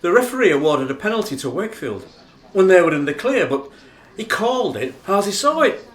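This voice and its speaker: An actor playing a formal, indignant dinner speechmaker in a radio play, declamatory and emphatic. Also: male voice, high voice, big pitch swings, a little fast. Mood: firm, insistent. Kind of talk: theatrical radio play monologue. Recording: roomy, echoing sound.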